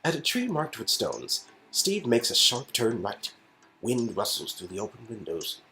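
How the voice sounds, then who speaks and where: A man's voice: medium pitch, huge pitch swings, measured pace, sharp tone, slight background noise, rough recording. A man reading out an interactive story game as narrator, in a casual second-person storytelling style. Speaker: reader and narrator of game dialogue.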